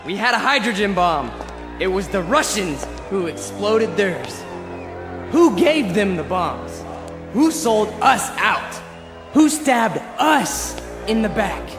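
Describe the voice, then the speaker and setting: An actor playing a fervent young leader of a fringe political movement in a radio drama, with impassioned, rhetorical delivery. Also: male voice, high voice, sing-song, slow, full character voice. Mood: fiery, ranting. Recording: roomy echo, music behind.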